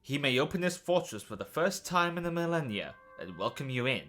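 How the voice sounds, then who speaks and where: A man playing a theatrical, formal guide in an animated fan dub, teasing and ominous as he relishes each warning. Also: on the high side, brisk, soft background music.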